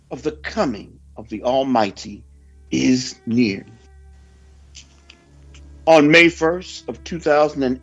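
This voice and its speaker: A man reading a scripted religious report as a solemn sermon, in a declamatory and deliberate way with emphatic phrasing. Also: a little high. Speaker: religious reader delivering a prophecy report. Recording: dull lo-fi audio with background music.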